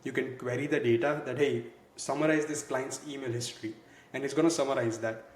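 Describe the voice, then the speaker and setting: A man presenting a software walkthrough in a conversational, explanatory way, leaning on filler words. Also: medium pitch, steady tone, brisk. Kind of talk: walkthrough demo narration. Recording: echoey, roomy sound.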